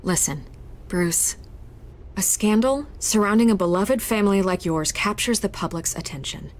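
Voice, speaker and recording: An actress playing a persistent, smooth-talking reporter in a video game, composed and persuasive. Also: female voice, medium pitch, moderate pace, a little hiss or hum, lightly acted. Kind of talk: dramatic game dialogue.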